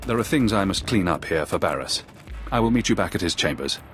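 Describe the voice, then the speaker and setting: A loyal Sith warrior from a video game. He sounds deep, grave and sure of himself. Music plays under his lines. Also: expressive, fairly quick, a bit bright. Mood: proud, loyal. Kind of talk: dramatic video game acting.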